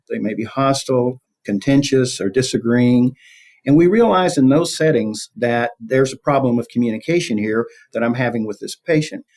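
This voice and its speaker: A man's voice: mid-range voice, a little mellow. A man speaking as an academic interview guest, explaining conversationally and illustrating his points with examples. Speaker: guest, professor of communication.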